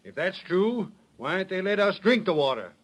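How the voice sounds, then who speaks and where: A man's voice: sits high, big pitch swings, normal speed, a bit bright, heavily acted. An actor playing a skeptical, plain-spoken Old West man in a 1930s radio drama, with a folksy American delivery. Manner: folksy, challenging.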